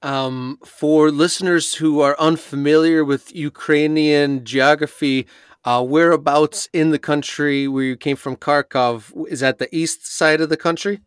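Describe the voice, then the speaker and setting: A man's voice: fairly high pitch, fairly even intonation, a little slow, clear, resonant tone, no room sound, studio quality. A man hosting a podcast, asking questions in a friendly way with some fillers. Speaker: podcast host. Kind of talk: podcast interview.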